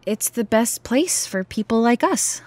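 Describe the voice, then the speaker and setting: A woman's voice: fairly high pitch, lively intonation, measured pace, a little mellow, no echo. An actress playing an aloof, dutiful preppy schoolgirl in an audio drama, with a detached, slightly hesitant delivery.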